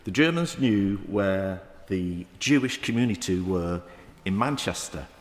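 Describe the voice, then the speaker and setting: A man in his fifties with a Manchester accent, giving a halting parliamentary debate speech with many fillers and restarts. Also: low voice, lively intonation. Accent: Mancunian. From England. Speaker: member of the House of Lords. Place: large echoing debating chamber. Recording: roomy chamber with long reverb.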